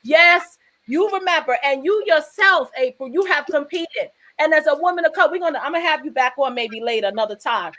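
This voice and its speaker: A woman with a high-pitched voice, hosting a casual talk show and speaking in an emphatic, preachy, rambling way with frequent restarts. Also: lively intonation, brisk, hardly any pauses, a bit bright.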